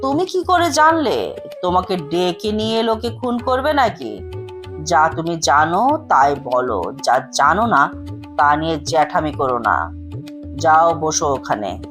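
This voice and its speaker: A woman's voice: sits low, very animated, measured pace, fairly bright tone, faint music behind, voice pushed down, exaggerated voice. A woman voicing a stern, brusque male lawyer who scolds and instructs with authority in an audio story. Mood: scolding. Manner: scolding, commanding, clipped instructions.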